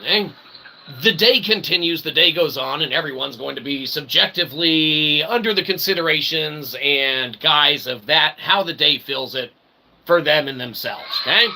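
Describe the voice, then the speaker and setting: A man hosting a comedy show with a theatrical showman's delivery, rambling in wordy, mock-formal phrases and repeated catchphrases. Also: a little high. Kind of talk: rambling comic monologue to an audience. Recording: clearly noisy recording.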